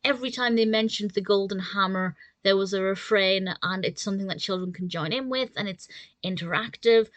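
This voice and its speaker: A woman delivers a casual review in a chatty, enthusiastic way. Her voice sits at an average pitch for a woman. The sound is dry, with very little room around it. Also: fairly quick, a bit bright, no echo. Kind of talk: casual spoken review to camera.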